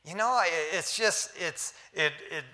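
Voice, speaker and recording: A man preaching a sermon, swinging from loud emphasis to hushed asides, with casual words like "man" and "amen" in between. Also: high voice, measured pace. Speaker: pastor. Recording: long hall reverb.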